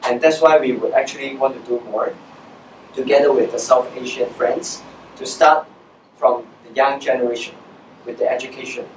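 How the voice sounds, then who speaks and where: A man's voice: mid-range voice, fairly even intonation, normal speed. Echoey, distant Hong Kong man presenting. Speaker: social entrepreneur giving a guest talk. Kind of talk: public presentation to students. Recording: echoey room, distant mic, background noise.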